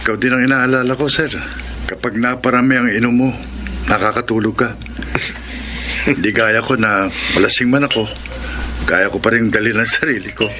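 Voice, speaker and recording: Man playing a respectful young employee, mid-pitched, radio drama, noisy backdrop. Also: steady tone, moderate pace, pauses often, natural voice. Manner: earnest, respectful. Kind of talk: classic radio drama acting. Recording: noisy background.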